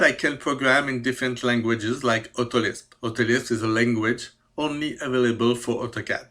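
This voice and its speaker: A man in his fifties from Quebec, a native French speaker with a Quebec French accent, presenting his skills with careful, hesitant speech and many pauses. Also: medium pitch, pushed and bright. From Quebec, Canada. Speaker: CAD programmer. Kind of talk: self-presentation video.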